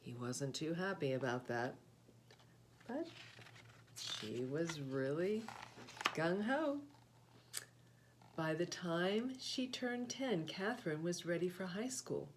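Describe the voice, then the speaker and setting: A woman reading a children's picture book aloud, with deliberate, expressive phrasing and short explanatory asides. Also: lowish voice, noisy background. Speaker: read-aloud host, storyteller.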